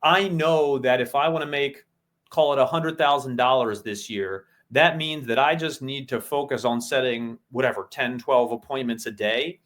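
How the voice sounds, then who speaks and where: A man talking on a casual business podcast. He lays out plans methodically, one step after another. His voice is pitched in the middle of the male range. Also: moderate pace, a bit bright. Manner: methodical, breaks goals down step by step. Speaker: podcast co-host.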